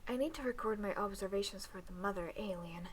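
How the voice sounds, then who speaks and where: A woman playing a cool, clinical female alien doctor who teases her human captive in a roleplay audio drama, speaking in a deadpan, halting way. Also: medium pitch, a little hiss or hum, lightly acted.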